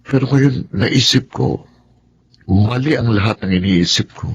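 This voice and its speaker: A man's voice: mid-range voice, unhurried, highs cut off, exaggerated voice. An actor playing a remorseful, earnest husband and father in a radio drama, speaking hesitantly with a Filipino accent. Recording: clear background noise and ambience.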